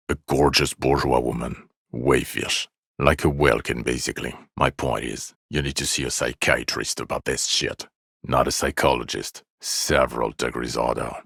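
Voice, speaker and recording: An extremely low, creaky male voice for a middle-aged police detective in a video game. He is jaded and blunt, dripping with weary sarcasm. The sound is close and dry, with no room on it. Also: a bit bright, no echo, exaggerated voice.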